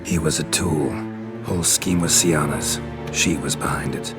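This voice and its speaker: A very low-voiced, stoic monster hunter from a fantasy game. He is terse, weary and deadpan. Loud music plays behind him. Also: a little slow. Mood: grim, matter-of-fact.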